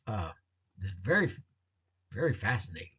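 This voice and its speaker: A man's voice: lowish voice, big pitch swings, bright, forceful tone, husky. Rambling man presenting, slightly dull lo-fi sound. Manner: rambling, halting, repeats words. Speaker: host of Bigfoot research channel. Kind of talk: casual storytelling, explaining pictures to viewers.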